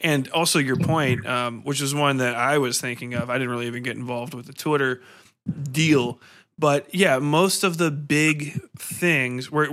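A man's voice: fairly high pitch, a bit bright. A man hosting a podcast in a casual, conversational interview style, talking informally and thinking aloud as he goes. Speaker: podcast host and author. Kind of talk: casual conversational interview about horror fiction.

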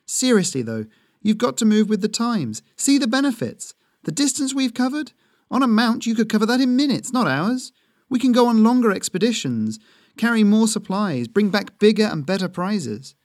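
A man's voice: high-pitched, big pitch swings, moderate pace, a little mellow, no echo, clean studio sound, voice pushed up. A man voicing a wry, teasing woman hunter with a blunt, mocking manner.

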